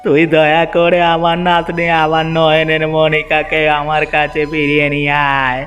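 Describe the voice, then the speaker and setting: A man's voice: fairly high pitch, completely flat delivery, normal speed, a bit bright, faint music behind, close to an everyday voice. An actor voicing a frail, worried old grandfather in a cartoon, pleading and anxious. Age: older. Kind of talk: Bengali cartoon dubbing.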